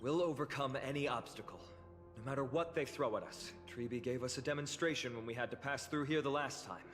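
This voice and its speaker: An actor voicing a calm, serious young hero in a video game, measured and earnest. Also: male voice, mid-range voice, natural voice. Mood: resolute. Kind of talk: scripted video game acting. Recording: reverb, game music behind.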